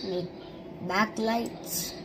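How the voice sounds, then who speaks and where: Slow-talking woman teaching digital drawing. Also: mid-range voice, fairly even intonation, background noise. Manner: simple instructions in short broken phrases. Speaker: art teacher. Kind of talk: step-by-step drawing tutorial. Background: background music.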